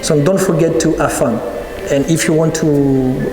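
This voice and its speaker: A man in his thirties with a French accent, answering interview questions as a dance teacher, often pausing to search for words. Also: fairly high pitch, fairly even intonation, noisy background. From France. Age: 30s. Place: large hall at a dance event. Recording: echoey hall with music behind. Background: music playing.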